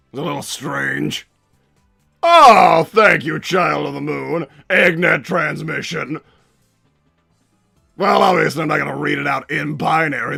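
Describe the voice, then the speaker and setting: A man playing a pompous, irritable cartoon villain scientist and doting father, raspy and theatrical, bantering in character on a livestream. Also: on the high side, bright, forceful tone, exaggerated voice. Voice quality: raspy, gravelly. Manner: theatrical, blustering, stammers when flustered. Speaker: livestream host reading donations.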